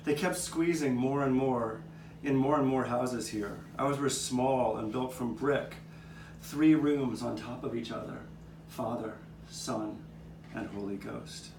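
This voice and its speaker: A man playing an old street cat who reminisces in a measured, reflective way, as scripted narration for a live puppet show. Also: medium pitch. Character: old male street cat, reminiscing storyteller with nine lives. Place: outdoor courtyard. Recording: distant, echoey live taping with background noise.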